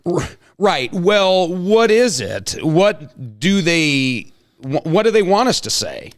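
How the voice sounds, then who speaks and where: A man acts out a flustered and greedy male school principal in a comic table read. He is long-winded, halting and exclamatory, with a higher-pitched voice that swings widely, recorded dry. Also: sing-song, no room sound, heavily acted. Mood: squeamish, exasperated.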